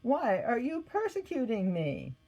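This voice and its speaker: A woman's voice: a little high, wildly swooping pitch, measured pace, warm tone, highs cut off, slight background noise, pitched above the performer's own voice, heavily acted. A woman voicing a solemn, firm divine male figure speaking from heaven in a storybook reading. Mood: solemn, commanding.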